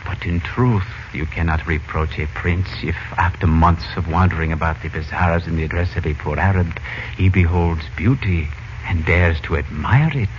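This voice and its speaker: Man playing a deep-voiced, courtly, mysterious Egyptian prince. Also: a little hiss or hum, close to an everyday voice. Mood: admiring, proud. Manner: formal, poetic, deliberate phrasing. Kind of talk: 1940s radio drama acting. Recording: muffled old radio recording.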